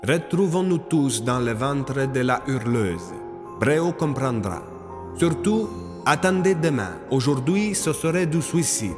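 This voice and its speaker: A man playing an affectionate friend voicing his letter in an audio drama, measured and expressive, with long reverb and music. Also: mid-range voice, natural voice. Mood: affectionate, mysterious. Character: male friend reading his own letter aloud, affectionate.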